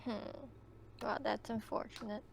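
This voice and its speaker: A woman chatting casually and haltingly as a player in an online tabletop game. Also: a little high, little pitch movement, vocal fry. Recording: noisy online voice chat.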